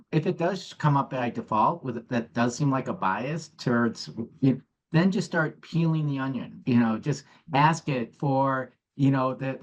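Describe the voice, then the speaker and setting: Guy chiming in on a call, mid-pitched voice, muffled audio, lots of you knows. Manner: modest and practical, with many "you know"s. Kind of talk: casual comment on a call.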